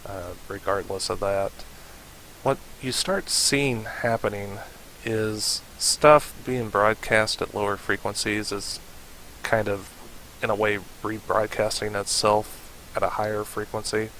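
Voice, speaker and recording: A man narrating a casual software tutorial, informally thinking aloud as he demonstrates, in a hissy home recording. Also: fairly low pitch, steady tone, measured pace, poor audio. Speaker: tutorial host.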